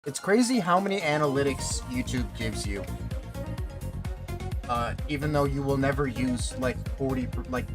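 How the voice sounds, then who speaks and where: A man in his twenties rambling along as he live-streams a game, talking casually and swearing now and then. His voice sits at an ordinary middle pitch, with game music playing clearly behind him. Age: 20s. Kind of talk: casual livestream chat while playing.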